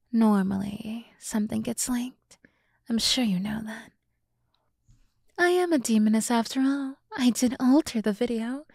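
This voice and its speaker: A woman voicing a seductive, scheming demon woman in an ASMR roleplay, often dropping to a breathy whisper as she slowly coaxes and condescends. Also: fairly high pitch, a little mellow, dry recording, studio quality. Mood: smug, conspiratorial. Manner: slow, teasing, condescending toward humans. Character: seductive, scheming demon woman, coolly menacing yet coaxing. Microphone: close microphone, dry sound.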